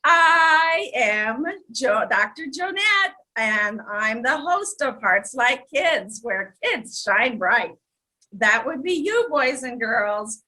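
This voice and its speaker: A woman's voice: a little high, animated, takes it slowly, sharp tone. A woman hosting a children's story show, exclamatory and speaking directly to young listeners.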